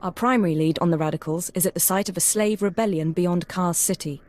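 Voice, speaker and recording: An actress playing a proud intelligence analyst in a video game, crisp, precise and businesslike. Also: female voice, on the low side, brisk, a little mellow, close to an everyday voice. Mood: crisp, aloof. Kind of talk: video game cutscene acting.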